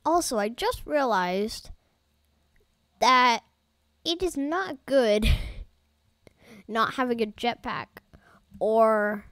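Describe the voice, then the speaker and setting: Woman let's-play host, relaxed slow delivery, chatty and rambling, slightly off voice from allergies. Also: a little high, dry recording, clean studio sound. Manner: chatty, rambling, talks to viewers as "guys". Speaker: gaming YouTuber. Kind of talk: casual let's-play commentary.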